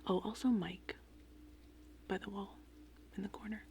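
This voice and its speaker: A woman doing casual, meandering ASMR in a breathy, whispered and softly spoken voice. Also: mid-range voice, unhurried, slight background noise. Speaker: ASMR host. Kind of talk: ASMR rambling movie summary. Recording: steady rain and thunder ambience behind. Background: gentle rain and thunderstorm sounds.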